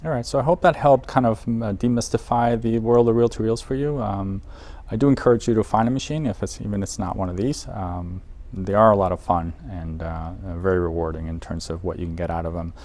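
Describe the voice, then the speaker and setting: A man's voice: on the low side, steady tone, fairly quick, darkish tone. A man presenting a casual product guide in an audio shop, talking informally and with enthusiasm for the gear. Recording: noticeable background noise, room ambience.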